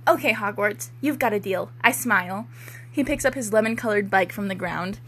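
A woman's voice: mid-range voice, normal speed, fairly bright tone, husky. A young woman reading amateur fiction aloud in a mock-dramatic way, with giggly, informal joking banter.

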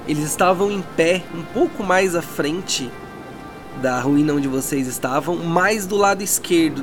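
A man narrating a tabletop game as game master, describing scenes dramatically. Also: a little high. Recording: with music bed.

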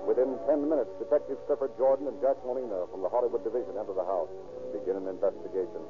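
A man narrating an old-time radio crime drama in a solemn, deliberate, theatrical style. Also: lowish voice, music in the background, lightly acted. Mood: dramatic. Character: grave, dramatic male crime-story narrator. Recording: old radio broadcast, narrow tinny sound.

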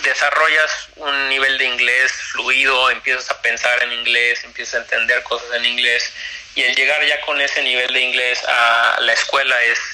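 A man's voice: medium pitch, flat delivery, moderate pace, bright, forceful tone, rough recording. A young man talks casually as an interview guest about college tennis, with many fillers and restarts.

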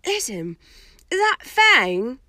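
A woman's voice: sits high, very animated, slow, sharp tone, dry recording, clean studio sound, voice pushed up, exaggerated voice. Woman voicing a hasty, awkward teenage boy. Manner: hasty, changing subject.

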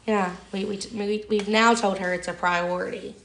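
A young woman with a raspy, hoarse voice from a lingering cold chats away on a podcast and steers the talk. Her tone has a gravelly fry in it. The room around her rings with echo. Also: mid-range voice, fairly even intonation, moderate pace, a bit bright, slight background noise. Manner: talkative, chatty, leads the conversation. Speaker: podcast co-host. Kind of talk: casual chat. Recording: echoey room.

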